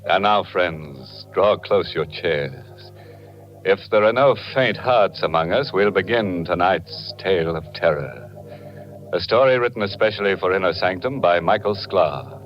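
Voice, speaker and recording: A man's voice: deep voice, lively intonation, unhurried. A man playing a ghoulish, gleeful horror host on 1940s radio, sly, mock-welcoming and theatrical. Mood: sly, macabre. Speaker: horror anthology show host. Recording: muffled 1940s radio, loud music.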